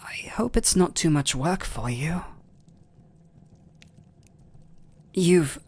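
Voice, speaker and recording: A man with a British accent playing a gentle, overworked vampire lord in a romantic ASMR roleplay, speaking softly, formally and a little haltingly. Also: on the high side, expressive. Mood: fond, gentle. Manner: soft, breathy, halting, formal. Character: gentle, overworked aristocratic male vampire lord, restrained and devoted.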